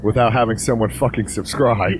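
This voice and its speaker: A man's voice: on the low side, steady tone, brisk, slight background noise. A man co-hosting a podcast outdoors in freezing weather, bantering, laughing and swearing as he wraps up the show. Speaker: podcast co-host. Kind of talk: casual joking banter.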